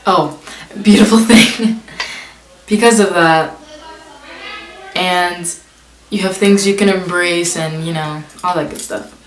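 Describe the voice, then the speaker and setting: A woman's voice: fairly low pitch, fairly even intonation, slow pace, long pauses. A young woman vlogger casually answering tag questions, reading each question aloud and filling her talk with "like". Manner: chatty, many "like" fillers, reads questions aloud. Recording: echoey room.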